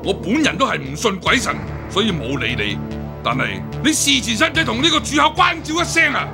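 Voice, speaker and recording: A bossy old qing examiner, radio drama, hong kong cantonese, really high creaky voice, music behind. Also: male voice, sing-song, a little fast, heavily acted. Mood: imperious, angry. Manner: imperious, scolding. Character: domineering, scornful senior imperial examiner, an older official.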